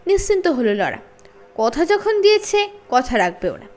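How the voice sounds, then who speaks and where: A woman reading a children's story aloud in an expressive, dramatized narrating style. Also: high-pitched, normal speed. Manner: dramatized, acting out dialogue. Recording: faint music bed. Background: soft background music.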